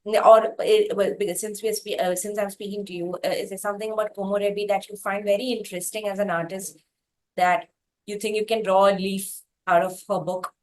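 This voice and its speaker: Female interviewer, Indian accent, average pitch, talky and halting, reworking her phrasing mid-question. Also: level tone, darkish tone, low-quality recording. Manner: halting, wordy, rephrases questions as she goes.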